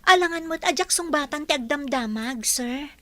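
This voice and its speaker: An actress playing a spirited, defiant young housekeeper in a Filipino radio drama, with a Filipino accent. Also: female voice, high voice, lively intonation, moderate pace, a bit bright, no room sound. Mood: indignant. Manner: feisty, talks back.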